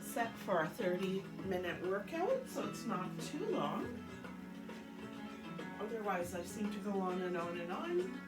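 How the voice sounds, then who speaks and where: A stuffy-nosed woman coaches a seated workout in an easy, chatty way while counting out reps. She sounds set back from the mic in a roomy space, and loud music plays under her the whole time. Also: mid-range voice, big pitch swings, unhurried, distant mic. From Canada. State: stuffed up, congested. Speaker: fitness instructor. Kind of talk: guided chair workout instruction. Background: loud upbeat music.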